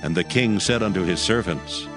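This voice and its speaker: Low-voiced man narrating scripture. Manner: measured, even reading. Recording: music bed under voice.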